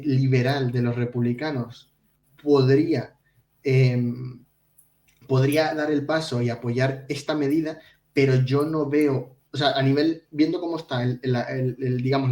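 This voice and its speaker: A man talks through financial news as a podcast co-host, in a mid-range voice. He explains conversationally and a bit haltingly, repeating words. The recording is echoey and he sounds some way from the microphone. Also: mid-range voice, fairly even intonation, darkish tone. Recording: roomy echo, distant from mic.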